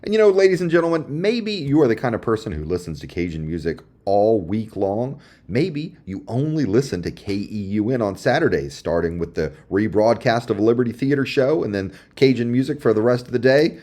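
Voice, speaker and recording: A man's voice: medium pitch, sing-song, a little fast, hardly any pauses, dark tone. A man presenting a radio show in a folksy, chatty showman's manner, addressing listeners directly with dramatic repeats.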